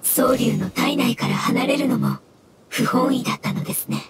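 A woman voicing a meek, sorrowful, polite elemental creature in an anime game, speaking softly. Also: high voice, steady tone, normal speed, slight background noise, close to an everyday voice. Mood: pleading. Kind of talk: Japanese anime game voice acting. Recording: loud music behind the voice.

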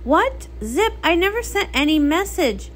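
A woman performs a bubbly young pony princess from a comic story. The girlish voice sits high and swoops around, chatty and full of dramatic emphasis. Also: sing-song, unhurried, exaggerated voice. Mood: worried.

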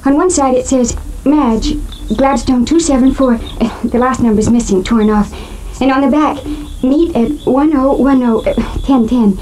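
A woman's voice: high voice, a little slow, hardly any pauses, warm tone, poor audio. An actress playing an anxious young woman in trouble, hesitant and pleading, in an old radio drama. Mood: earnest, anxious. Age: young adult. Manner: hesitant, nervous. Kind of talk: 1950 radio drama acting. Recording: old radio recording, slight noise.

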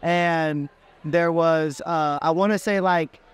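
A man's voice: on the high side, fairly even intonation, slow pace, smooth. A man in his thirties hosting a casual interview at an expo booth, chatty and enthusiastic with loose restarts.

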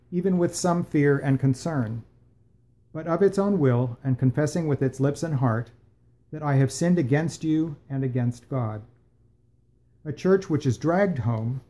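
A man in his sixties reading a reflective piece aloud for a worship service, with measured, expressive phrasing and deliberate pauses. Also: on the high side. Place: small room at home, indoors.

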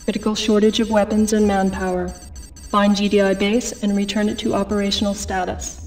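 A woman playing an impassive military computer, reading a briefing in a measured, impersonal way for a video game. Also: mid-range voice, level tone, darkish tone, recorded from a distance, faint music behind, natural voice, poor audio.

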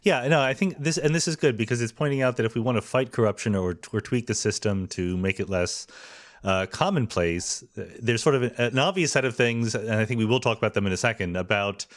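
A middle-aged man hosting a podcast, speaking in a thoughtful, measured way with fillers. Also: medium pitch. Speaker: podcast host. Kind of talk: podcast interview.

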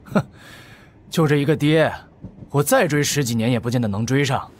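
A man's voice: mid-range voice, moderate pace, slight background noise, close to an everyday voice. A man playing a scheming, resentful gang fixer who fawns on his betters in an audiobook drama. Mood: bitter. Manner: obsequious on the phone, bitter in private.

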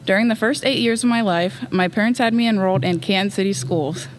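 A woman's voice: medium pitch, a little fast, slight background noise, low-quality recording. A reserved teenage girl reading her graduation speech at a podium in an empty auditorium. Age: late teens. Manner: soft-spoken, reserved. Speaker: student speaker. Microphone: podium mic.

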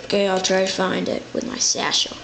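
A female voicing a clumsy, eager male dark wizard who works as a cook's assistant in a homemade toy play. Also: mid-range voice, brisk, creaky, natural voice. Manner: clumsy, eager, easily excited. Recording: noisy home recording.

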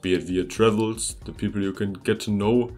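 A man's voice: fairly low pitch, fairly even intonation, normal speed, a little mellow. A young German man, a native German speaker, narrating a scripted video about learning languages in an upbeat, conversational way that speaks straight to the viewer. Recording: voice-over with faint music behind.

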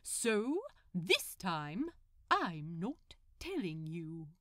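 A woman's voice: lowish voice, very animated, extremely slow, pushed and bright, no room sound, clean studio sound, full character voice. A woman voicing a prim, politely smug and fussy male supervillain in a fan fiction audiobook, with formal, pompous, articulate speech. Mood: smug, lecturing.

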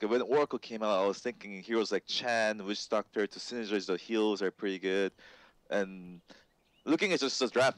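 Male co-caster, lowish voice, halting with fillers. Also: no echo, poor audio. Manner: halting, many uh fillers, laughs between points. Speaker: esports co-commentator. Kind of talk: esports draft analysis.

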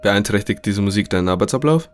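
A man's voice: fairly low pitch, expressive, quick pace, soft background music, lightly acted. A man playing a courteous, inquisitive scientist conducting an interview in an audio drama, matter-of-fact. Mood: businesslike, curious.

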